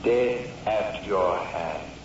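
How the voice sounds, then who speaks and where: An actor playing an ominous fortune-teller heard as a remembered prophecy, intoning with an echo effect in a radio horror drama. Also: male voice, sits low. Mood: sinister, ominous. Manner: extremely slow, sinister intoning. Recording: distant, echoing, muffled noisy radio recording.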